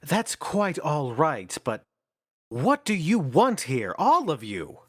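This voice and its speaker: An actor playing an impatient, skeptical theater company manager in a radio stage play, with a brusque, exasperated delivery. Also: male voice, a little high, huge pitch swings, slow, fairly bright tone, no room sound, studio quality, full character voice.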